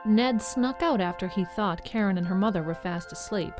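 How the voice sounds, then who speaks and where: A woman tells a scripted story for young listeners. Her mid-range voice is measured, with dramatic pauses, and music plays behind her. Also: medium pitch. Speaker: narrator.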